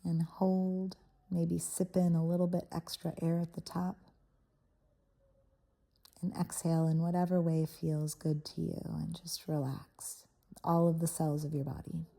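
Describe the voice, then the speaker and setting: A woman leading a guided visualization in a soothing, unhurried manner, leaving pauses between short phrases. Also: sits low, flat delivery, gravelly, warm tone. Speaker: guided meditation host. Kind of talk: guided meditation, soft guided visualization.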